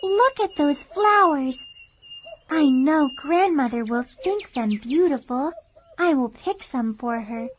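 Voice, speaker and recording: A woman's voice: high voice, expressive, slow, a little hiss or hum. A woman playing a sweet, innocent little girl in a children's fairy tale, polite and wide-eyed with a sing-song delivery. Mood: delighted.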